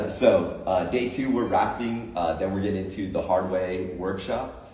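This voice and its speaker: A man's voice: deep voice, a little slow, darkish tone, roomy sound, distant mic, slight background noise. A man, a coach and retreat organizer, talking through the plan casually and with enthusiasm, with lots of fillers and motivational lines. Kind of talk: casual promotional chat.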